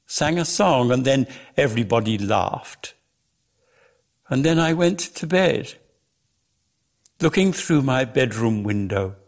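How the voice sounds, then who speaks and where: A man giving a measured, expressive dramatic reading of literary prose as a storyteller. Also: mid-range voice, gravelly. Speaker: narrator, reader.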